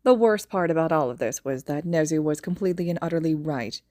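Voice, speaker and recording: A woman's voice: low voice, quick pace, a little mellow, no echo, clean studio sound. A woman narrating a scripted fan fiction audio story.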